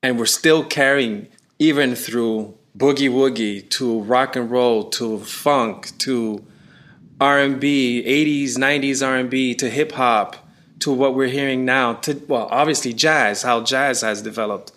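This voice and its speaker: A man from Canada, a touring musician, chatting in an interview and telling stories with rising excitement. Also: mid-range voice, a little slow. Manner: animated storyteller, voice rising when excited. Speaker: musician guest. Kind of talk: casual interview.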